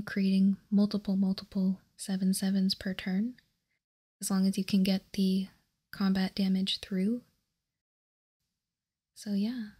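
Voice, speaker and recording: Woman, ASMR whisper, breathy, even tone, slow pace, measured reading. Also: medium pitch. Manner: unhurried, soft, measured. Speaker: host of a card-game ASMR show.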